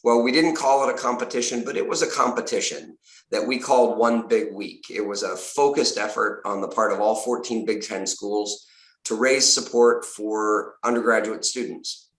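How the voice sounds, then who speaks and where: A slightly hoarse man, a university fundraising executive, giving upbeat prepared remarks on a video call with frequent uh fillers. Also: fairly low pitch, steady tone, normal speed, fairly bright tone. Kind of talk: prepared remarks at a virtual donor event. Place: home or office on a video call. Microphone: video call mic. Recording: roomy video call audio.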